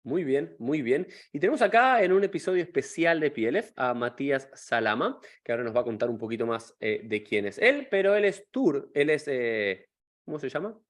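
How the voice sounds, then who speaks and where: A man's voice: mid-range voice, expressive, normal speed. A man hosting an interview podcast, asking long, stacked, rambling questions. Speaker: podcast host.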